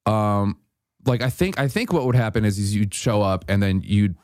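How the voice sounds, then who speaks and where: A man with a Wisconsin accent, rambling through a story in casual podcast banter with deadpan jokes and many restarts. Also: lowish voice, moderate pace, no room sound, studio quality. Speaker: comedian guest.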